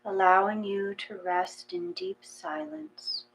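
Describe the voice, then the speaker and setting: Slow-paced woman guiding a meditation. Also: mid-range voice, vocal fry, poor audio. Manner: calm, measured, with long pauses between short phrases. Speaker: guided meditation reader. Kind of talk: gentle guided meditation, read from a text.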